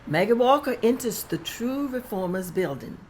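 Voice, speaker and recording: A woman's voice: a little high, lively intonation, normal speed, a little hiss or hum. A woman narrating stage directions in a table read, plain and matter-of-fact.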